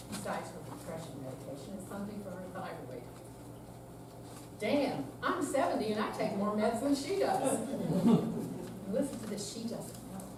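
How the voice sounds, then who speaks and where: Echoey room, noisy background, woman acting candid weary seventy-year-old, earnest stage reading, deliberate delivery. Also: mid-range voice, close to an everyday voice. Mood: weary, exasperated. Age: older. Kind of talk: scripted dramatic reading. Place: senior center room, live performance. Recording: echoey room, distant mic, clear background noise.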